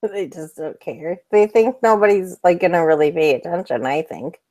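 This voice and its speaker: A woman's voice: lowish voice, a little mellow. Chatty, laughing woman co-host. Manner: chatty, laughs often, quick bursts. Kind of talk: casual livestream chat.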